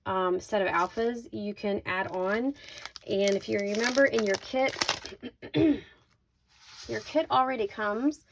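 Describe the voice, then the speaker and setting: Woman, low creaky raspy voice, casual craft video host, chatty product walkthrough, faint background noise with crinkling. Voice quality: slightly raspy. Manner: chatty, informal, thinking aloud. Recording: noisy, with crinkling handling sounds.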